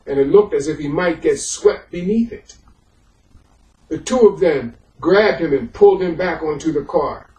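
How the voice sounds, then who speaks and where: A man working as a historian, presenting and reading a history book aloud, halting in his own remarks and more emphatic as he reads. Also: sits high. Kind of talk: introduction and audiobook-style reading of a history book. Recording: echoey room, far from the microphone.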